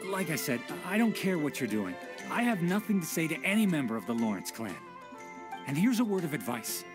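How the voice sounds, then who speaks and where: A man's voice: on the high side, very animated, fairly quick. An actor voicing a resentful common townsman in a fantasy game who talks bluntly and dismissively. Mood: irritated. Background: game music.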